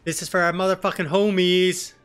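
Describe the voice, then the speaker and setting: A man's voice: sits high, little pitch movement, fairly quick, a bit bright, clear, resonant tone. A man in his thirties doing a let's play, reading game dialogue aloud in a theatrical way. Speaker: streamer voicing game dialogue. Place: indoor home setup on webcam. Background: game music.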